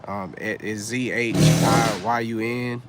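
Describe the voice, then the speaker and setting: A man's voice: on the low side, slow pace, creaky, over loud music. A man in his thirties chatting casually on a livestream, laid-back and rambling, full of fillers and slang. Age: 30s. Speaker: vlogger on a livestream.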